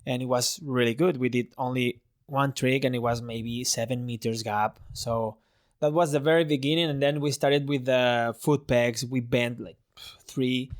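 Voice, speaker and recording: A man's voice: medium pitch, steady tone, normal speed, no room sound, clean studio sound. A man in his thirties from Spain, an English speaker, telling stories in a casual interview with many restarts. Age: 30s. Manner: chatty storytelling with many restarts and laughs. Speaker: freestyle motocross rider, guest.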